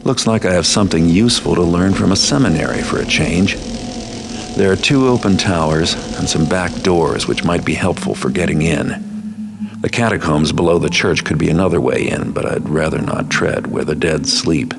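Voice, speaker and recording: A male actor playing a cynical, world-weary master thief in a video game, with a dry, sardonic, understated delivery and a gravelly voice. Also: moderate pace, noisy background. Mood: brooding, scheming. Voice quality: very deep, gravelly. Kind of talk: noir-like game narration and dialogue. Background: music.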